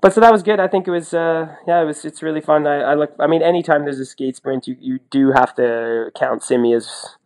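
A man's voice: fairly high pitch, fairly quick, few pauses, a little mellow. A man chatting casually as a sports podcast co-host, talkative and colloquial, often repeating himself for emphasis. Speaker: podcast co-host and sports commentator, former athlete.

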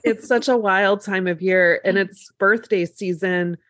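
A middle-aged American woman chatting casually as a podcast co-host, her voice slightly gravelly after the flu, with a storytelling way of talking. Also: medium pitch, fairly even intonation, measured pace, a bit bright, no room sound. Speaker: podcast co-host and lactation consultant.

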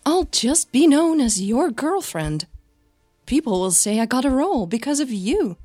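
A woman's voice: high-pitched, sing-song, measured pace, dark tone, faint music behind, pitched above the performer's own voice, full character voice. A woman voicing a bitter, defensive young actress in an audio drama quarrel, emotional and clipped, with a flu-affected voice. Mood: agitated.

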